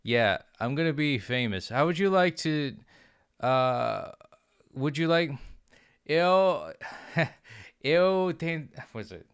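Young man, streamer, slow easygoing talk, smooth clear voice, playful boasting, halting learner Portuguese, close mic, dry room. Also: on the high side, big pitch swings, no echo. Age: young adult. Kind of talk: casual live-stream chat, reading chat aloud. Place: small home room. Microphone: studio mic on arm with headphones.

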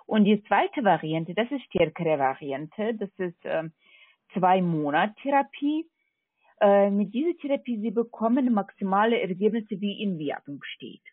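A non-native speaking woman with a foreign accent, working as a call center agent, giving a halting, repetitive, polite sales pitch over the phone. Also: animated, slightly rough, no echo. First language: not German. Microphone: telephone. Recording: tinny, narrowband phone line.

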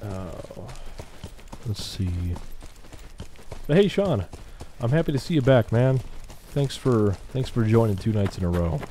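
A man's voice: medium pitch, animated, a little slow, dark tone, a little hiss or hum. A man commentating casually on his game livestream, chatty, slangy and a little hesitant.

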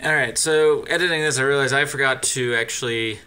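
A man's voice: mid-range voice, fairly quick, music in the background. A young man hosting a casual road-trip vlog, talking easygoing and straight to the camera from his car. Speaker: vlogger host. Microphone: camera mounted in car, facing him.

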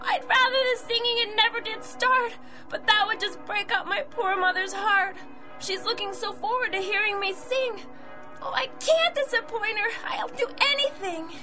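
A woman's voice: very high voice, normal speed, over music. A woman playing a brave, earnest little boy who works as a young agent, in a rhymed radio drama. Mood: anxious. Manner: plucky, earnest.

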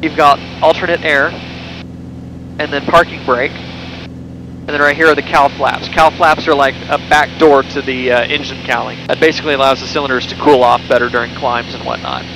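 A young man talks through a headset boom mic in a small plane's cockpit, walking through the controls in a relaxed, conversational way with the odd filler and self-correction. His voice sits at an ordinary middle pitch. A heavy, steady engine drone runs under every word. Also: normal speed, vocal fry. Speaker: pilot giving a cockpit tour. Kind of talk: casual explanatory walkthrough. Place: small airplane cockpit in flight. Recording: engine noise through intercom.

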